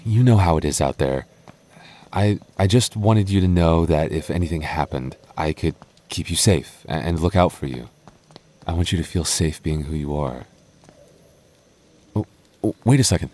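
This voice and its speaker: Casting: young goth boyfriend played by a man, low voice, protective and teasing but easily flustered, stammering delivery, intimate ASMR roleplay. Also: dark tone, lightly acted. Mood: tender, reassuring, then puzzled, startled. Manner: stammering, halting, earnest delivery.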